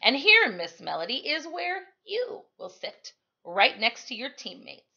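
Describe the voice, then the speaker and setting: A woman's voice: high voice, very animated, unhurried, vocal fry, pushed and bright, husky, highs cut off, pitched above the performer's own voice, exaggerated voice. A woman voicing a friendly, encouraging male stage manager who explains things kindly in a storybook reading. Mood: welcoming, explanatory.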